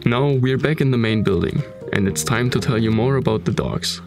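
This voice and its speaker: A man with a German accent narrating a documentary about an abandoned manor in a calm, measured tone. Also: mid-range voice, music in the background. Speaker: narrator of urban exploration videos.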